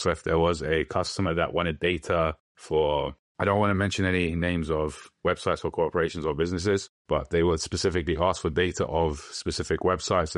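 Very deep-voiced young man. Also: dry recording, clean studio sound. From London, England. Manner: plain, matter-of-fact explaining with loose restarts. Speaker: guest. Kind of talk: podcast interview answers.

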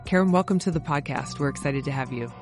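A woman hosting a podcast, reading a scripted guest introduction and asking clear, measured questions. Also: sits low, over music. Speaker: podcast host.

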